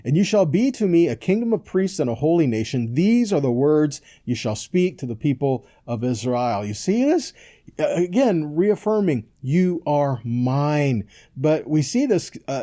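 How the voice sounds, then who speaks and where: A man's voice: on the high side, sing-song, normal speed, dark tone. A man giving an informal, earnest sermon, reading scripture and urging listeners on with short check-in questions. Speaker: preacher, Bible teacher.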